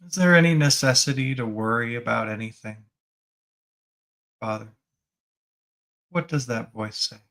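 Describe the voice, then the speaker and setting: A man leading a guided spiritual meditation as a teacher, gently prompting the listener with reflective questions. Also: medium pitch, measured pace, long pauses.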